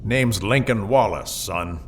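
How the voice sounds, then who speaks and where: A man playing a gruff, laconic gunslinger mercenary with a drawling delivery in an audio drama. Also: on the low side, takes it slowly, faint music behind. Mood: stoic. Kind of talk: sci-fi western audio drama dialogue.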